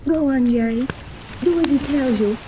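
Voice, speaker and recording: A woman's voice: sits high, normal speed, close to an everyday voice. An actress playing an anxious, obedient young captive girl in a 1930s radio serial, with earnest, cautioning, formal phrasing. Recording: noisy, distant old radio recording.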